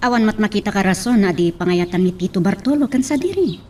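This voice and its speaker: A woman's voice: mid-range voice, lively intonation, fast, mellow, a little hiss or hum, lightly acted. A woman playing a concerned, inquisitive young best friend in an audio drama. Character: sympathetic, curious young female best friend.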